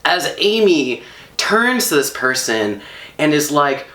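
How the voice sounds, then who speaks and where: Animated man telling a story, roomy echo. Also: on the high side, slow pace. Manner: animated, emphatic, laughs while telling. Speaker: storyteller on stage. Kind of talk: personal storytelling talk.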